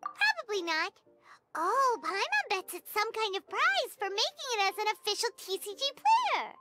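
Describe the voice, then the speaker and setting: A woman voicing a tiny, bubbly, excitable childlike fairy companion in an anime video game, squeaky and exclamatory. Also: moderate pace, over loud music. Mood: excited, cheerful.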